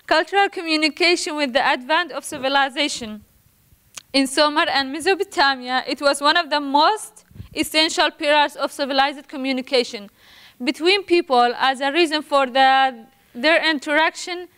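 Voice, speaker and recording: A young woman from Iraq gives a prepared academic presentation as a student, nervously and haltingly, stumbling over words as she reads carefully. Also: high voice, a bit bright. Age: young adult.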